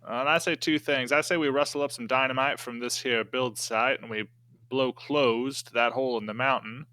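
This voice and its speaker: A man speaking in character in a tabletop game, deliberate and hesitant, with folksy old-time phrasing. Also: mid-range voice, steady tone, pushed and bright, no room sound.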